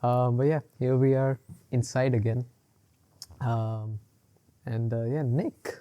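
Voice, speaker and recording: A man's voice: mid-range voice, fairly even intonation, slow pace, highs cut off. A man co-hosting a casual podcast, rambling along with lots of fillers and laughing often. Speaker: podcast co-host. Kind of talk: casual chat.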